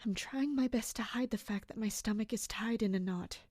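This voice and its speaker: An actress playing a serious, duty-bound young woman officer in a fantasy audio drama, speaking in a reserved, formal, measured way. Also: on the high side, heavy background noise, close to an everyday voice. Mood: anxious.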